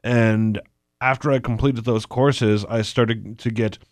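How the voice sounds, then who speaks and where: A man's voice: medium pitch, fairly even intonation, no room sound, clean studio sound. A congested man co-hosting a casual chat podcast, rambling and stumbling over his words. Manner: rambling, halting with many restarts. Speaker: podcast co-host.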